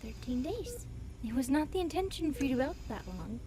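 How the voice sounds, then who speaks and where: A woman voicing a gentle, apologetic alien nurse in an audio roleplay, with a hushed, reassuring manner. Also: high-pitched, big pitch swings, fairly quick.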